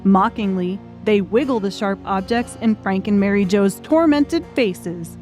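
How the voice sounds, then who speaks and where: A woman's voice: medium pitch, unhurried, darkish tone, faint music behind. A woman reading scripted, dramatic audiobook narration.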